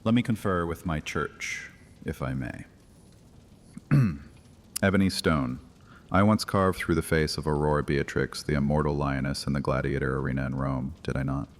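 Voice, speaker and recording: An actor playing an ancient, imperious vampire high priest in a horror audio drama, commanding, mocking and theatrical. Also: male voice, normal speed. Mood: mocking menace. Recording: long cavernous reverb, faint music underneath.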